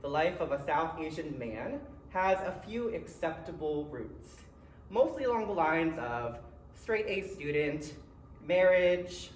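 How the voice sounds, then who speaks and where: A man's voice: fairly high pitch, expressive, takes it slowly. A man with a Philadelphia accent giving a personal keynote speech in a conversational way, with short dramatic phrases and wry asides. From Philadelphia area, United States. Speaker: keynote speaker. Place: college event room. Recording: roomy, distant mic with faint noise.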